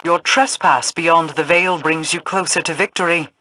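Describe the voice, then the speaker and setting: A woman's voice: lowish voice, steady tone, moderate pace, sharp tone, dry recording. An actress voicing an aloof, commanding queen in a video game, with a formal, solemn delivery. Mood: solemn, cool. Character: regal, aloof, commanding queen of a fallen realm. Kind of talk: scripted video game dialogue.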